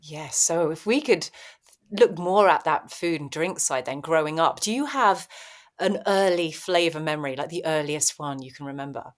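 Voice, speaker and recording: A woman's voice: low-pitched, normal speed. A woman hosting an interview podcast, asking encouraging questions in a friendly way. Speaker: podcast host. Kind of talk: casual interview.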